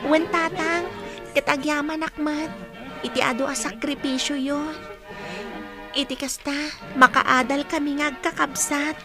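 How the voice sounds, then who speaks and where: A woman's voice: sits high, steady tone, a little slow, long pauses, over music. A woman playing a dutiful, grateful teenage daughter in a radio drama, speaking earnestly and tenderly. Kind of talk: Ilocano radio drama acting.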